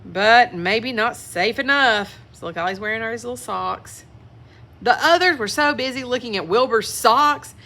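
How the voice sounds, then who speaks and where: Children's story reader, female: expressive, highish bright voice, chatty asides to little listeners. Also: moderate pace. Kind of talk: expressive picture-book read-aloud with asides to young listeners.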